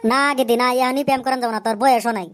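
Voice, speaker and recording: A man's voice: very high-pitched, fairly even intonation, racing, fairly bright tone, clear, resonant tone. A man voicing a bossy, boastful village mother in a comic cartoon, blustering and bawdy, with a rural Bangladeshi accent. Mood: advising.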